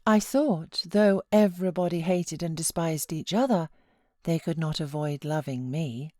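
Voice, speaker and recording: A woman voicing a feverish, self-pitying young married gentlewoman from a classic novel, with dramatic outbursts and anguished pleading. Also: sits low, dry recording, clean studio sound.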